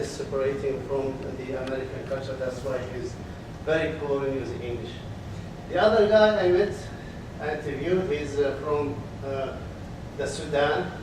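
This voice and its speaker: Male presenter, slightly high voice, hesitant delivery, distant mic in a reverberant hall. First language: Arabic. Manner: halting, frequent filler pauses. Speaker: conference presenter. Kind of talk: academic research presentation. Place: conference hall. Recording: echoey hall, distant mic, background noise.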